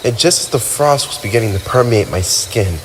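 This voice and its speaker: A man's voice: mid-range voice, lively intonation, a little fast, noisy background, lightly acted. A man playing a lonely, centuries-old vampire with wounded pride, speaking in wistful, formal, theatrical phrases in an ASMR roleplay. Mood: wistful, resigned. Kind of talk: ASMR roleplay monologue addressed to the listener. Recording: night ambience with crickets and owls.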